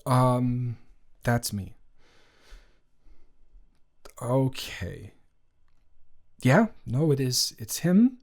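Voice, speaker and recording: A man's voice: mid-range voice, big pitch swings, heavily acted. A young man playing a boyfriend's caring best friend in an improvised romantic audio roleplay, talking in a casual, stammering, awkwardly indignant way. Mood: apologetic, earnest. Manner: halting, stammering, informal, repeats himself.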